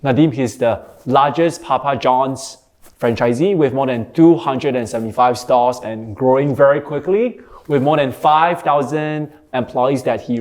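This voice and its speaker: A man hosting an interview, effusive and affirming, with frequent short acknowledgements. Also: medium pitch. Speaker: podcast host.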